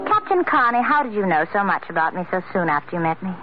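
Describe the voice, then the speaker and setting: An actress playing a polite, anxious young heiress who keeps herself tightly controlled, in old-time radio drama style. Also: female voice, medium pitch, sing-song, fast, faint music behind, lightly acted. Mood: loosened, playful. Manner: polite, restrained, earnest. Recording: dull vintage radio recording with faint hiss.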